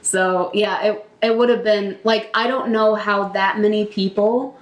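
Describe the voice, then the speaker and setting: A woman's voice: mid-range voice, fairly even intonation, measured pace, highs cut off. Emphatic woman in an echoey room. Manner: emphatic, repeats herself for emphasis. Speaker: podcast co-host. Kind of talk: casual wrestling podcast chat. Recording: roomy, echoing room sound.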